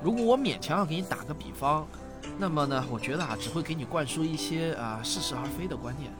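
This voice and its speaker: Patient middle-aged father, played by a man, sci-fi audio drama; didactic, gentle, widely varied intonation over music. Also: fairly high pitch, expressive, lightly acted. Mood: patient, explanatory. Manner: didactic, gentle, drawn-out particles. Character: patient middle-aged father explaining physics to his daughter. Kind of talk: audio drama acting, explanatory dialogue. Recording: music behind the voice.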